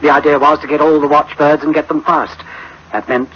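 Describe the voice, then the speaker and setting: A man's voice: a little high, little pitch movement, a little fast, slightly rough. An actor playing a sceptical, conscience-stricken man in charge in a 1960s radio drama. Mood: sceptical, probing. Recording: dull old radio recording, faint hiss.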